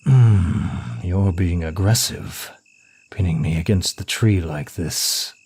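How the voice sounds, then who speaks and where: A very low-voiced, suave demon of lust, acted by a man for an ASMR roleplay. He teases smugly and draws out his words at a slow, deliberate pace, every line seductive. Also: a little mellow, husky, heavily acted. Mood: commanding, lustful.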